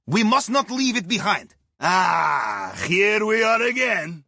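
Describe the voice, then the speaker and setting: A man's voice: high voice, very animated, slow pace, creaky, bright, forceful tone, dry recording, clean studio sound, heavily acted. A man playing a wry, upbeat Russian submarine captain guiding a heist crew in a video game, with a Russian accent and clipped English. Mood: wry, briefing. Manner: wry, playful, theatrical, with clipped non-native English. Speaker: mission guide over comms.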